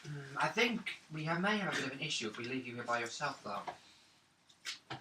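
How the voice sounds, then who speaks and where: A man's voice: mid-range voice, big pitch swings, moderate pace. A man playing a tabletop role-playing game, chatting casually about play and rules. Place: indoors around a gaming table. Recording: roomy, distant, faintly noisy table recording.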